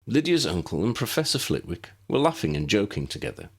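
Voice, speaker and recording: A man's voice: on the low side, big pitch swings, brisk. A man narrating an audiobook story in a scripted storytelling style.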